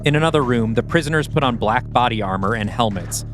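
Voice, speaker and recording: Male podcast host, scripted scene narration, mid-pitched, soft music behind. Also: animated, quick pace.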